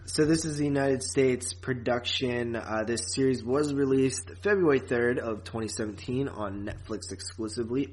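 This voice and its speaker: A man talks as a podcast co-host in an informal review chat. His pitch sits in the middle of the male range. He keeps things loose and conversational, with a teasing, joking streak. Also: low-quality recording.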